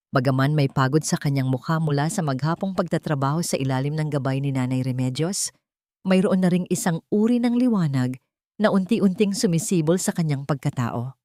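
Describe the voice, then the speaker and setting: A woman reading a scripted dramatic story in a measured, sentimental storytelling manner. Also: deep voice, dark tone, no echo, clean studio sound. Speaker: storyteller narrator.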